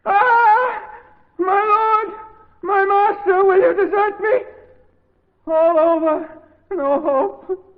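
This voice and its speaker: An actor playing a crazed, devoted madman in a radio horror play, keening in shrill despair to his master. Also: extremely slow, long pauses, heavily acted. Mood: despairing, pleading. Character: crazed asylum madman, desperately devoted servant, wailing. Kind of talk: old-time radio horror acting. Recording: tinny, band-limited vintage radio recording.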